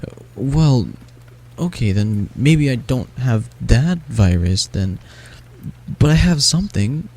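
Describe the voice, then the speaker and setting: Sick, scared young catboy for an audio roleplay, voiced by a man: soft, stammering, husky. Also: mid-range voice, lively intonation, takes it slowly. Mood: worried.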